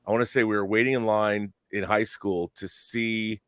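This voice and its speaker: A man's voice: fairly low pitch, fairly even intonation, fairly bright tone, smooth, dry recording. A middle-aged man from the Seattle area, hosting a casual podcast chat. He rambles and often restarts his sentences. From Seattle area, United States. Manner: rambling, halting, frequent restarts and fillers. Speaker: podcast host.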